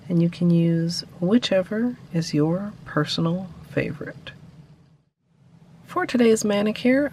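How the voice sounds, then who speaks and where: A woman narrating a nail art tutorial as a voice-over, explaining each step calmly. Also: lowish voice, slight background noise. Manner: calm step-by-step instructions.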